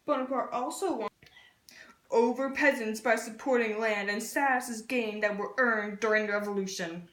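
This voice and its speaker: Echoey, higher-voiced young woman. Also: normal speed, a bit bright. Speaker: student presenter. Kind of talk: scripted history skit narration in dialogue. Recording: roomy, echoey room sound.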